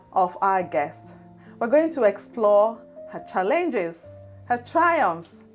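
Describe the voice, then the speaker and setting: A woman hosting an interview show, introducing her guest in a measured, formal way and asking questions in a studio. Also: mid-range voice. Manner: measured, formal introduction with slow emphatic phrases. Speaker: podcast host.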